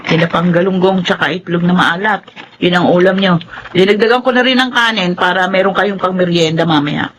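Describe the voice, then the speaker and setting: A woman's voice: lowish voice, brisk, a bit bright, a little hiss or hum, close to an everyday voice. A woman with a Filipino accent playing a poor, careworn wife and mother in a radio drama, earnest and plain-spoken. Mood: busy, caring. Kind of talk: radio drama acting and narration. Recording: radio drama with music bed.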